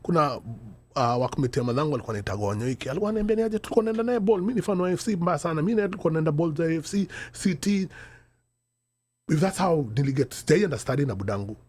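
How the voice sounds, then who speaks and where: A middle-aged Kenyan man with a husky voice talks quickly and swings his pitch widely, hopping between English and Sheng mid-thought. He makes his points with rhetorical questions and little stories from his own life. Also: lively intonation, darkish tone. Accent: Kenyan, mixing English and Sheng Swahili. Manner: code-switching mid-sentence, emphatic and storytelling, rhetorical questions. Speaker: podcast host. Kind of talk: animated panel discussion.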